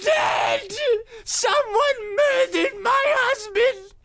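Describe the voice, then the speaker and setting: A woman's voice: very high-pitched, drawn-out words, fairly bright tone, shouting, heavily acted. A woman playing a sobbing, melodramatic widow in a comedy radio play. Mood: hysterical. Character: hysterical grieving widow.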